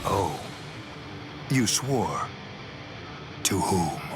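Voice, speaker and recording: A man's voice: deep voice, very animated, very slow, exaggerated voice. An actor voicing a haughty, merciless aristocratic swordsman captain in an anime game, speaking in a stately, formal manner. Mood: restrained surprise. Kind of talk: dramatic anime game voice acting. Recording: loud music behind.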